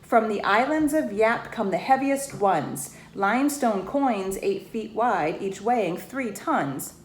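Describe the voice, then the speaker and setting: A woman reading a rhyming children's storybook aloud in an expressive way, pausing to put questions to the young listeners. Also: medium pitch. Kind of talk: children's storybook read-aloud, rhymed verse with asides to listeners. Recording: roomy echo, faint background noise.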